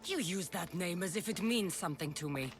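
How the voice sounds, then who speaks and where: A woman's voice: lowish voice, animated, fairly quick, faint music behind. A woman voicing a bitter young warrior, an estranged daughter, sardonic and cutting in a video game drama. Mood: bitter accusation.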